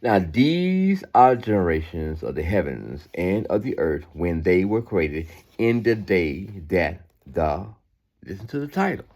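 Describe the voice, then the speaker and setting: Man, deep low voice, lively rise and fall, emphatic scripture reading, informal preaching asides, repeated phrases. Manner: conversational asides seeking agreement. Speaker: Bible reader giving commentary.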